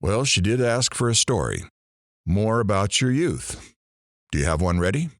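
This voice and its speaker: A sardonic, low-voiced radio DJ, acted by a man for an audio drama. His pitch swoops wildly through dry, theatrical patter, and the sound is close and dry. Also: big pitch swings, moderate pace, no echo. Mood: wry, brisk. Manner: dry, sarcastic, theatrical delivery. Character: sardonic, deadpan male radio morning DJ. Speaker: radio talk show host.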